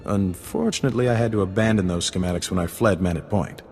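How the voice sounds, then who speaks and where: A man's voice: low-pitched, quick pace, darkish tone, close to an everyday voice. Man playing sly smuggler, florid, over-polite, long-winded, game dialogue, roomy reverb. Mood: smug, instructive. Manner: florid, mock-polite, wordy. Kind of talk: video game character dialogue. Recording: reverberant hall sound under music.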